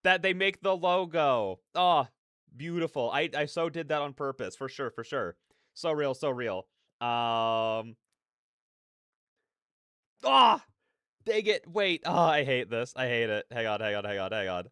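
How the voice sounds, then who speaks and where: A man's voice: medium pitch, sing-song, unhurried, pauses often, bright, forceful tone, smooth, dry recording. Effusive streamer man, dry close mic. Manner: effusive, repeats phrases, slangy. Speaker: reaction streamer. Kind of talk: casual excited reaction commentary.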